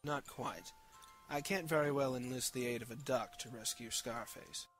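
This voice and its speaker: A man voices a timid, fussy puppeteer crook for a superhero fan dub. The voice is medium in pitch and bright, prim and nervous, with soft music behind. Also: moderate pace, lightly acted. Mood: fretful.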